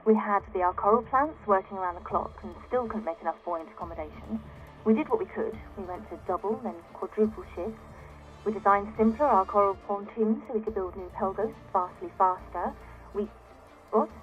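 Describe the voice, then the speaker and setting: An actress playing an indignant, self-righteous founder of a floating city in a video game audio log, exasperated, defensive and emphatic, through a radio-like filter. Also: female voice, medium pitch, a little fast, dull audio, faint music behind.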